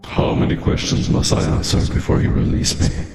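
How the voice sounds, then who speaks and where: A man voicing a proud, threatening alien warrior prisoner in a game, terse and blunt, with a breathy rasp. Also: a little fast, roomy sound, music in the background, close to an everyday voice. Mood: scornful. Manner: blunt, clipped, menacing.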